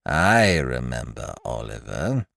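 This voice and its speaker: A man voicing an elderly mother who speaks slowly and sighs mournfully. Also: a bass voice, big pitch swings, gravelly, fairly bright tone, no echo, clean studio sound, voice pushed down, heavily acted. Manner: slow, sighing, mournful.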